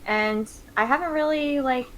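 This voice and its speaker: Bright-voiced young woman streamer. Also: sits high, a little slow, slight background noise. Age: 20s. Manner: chatty, full of fillers. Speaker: streamer host. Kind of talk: casual chat while drawing on stream.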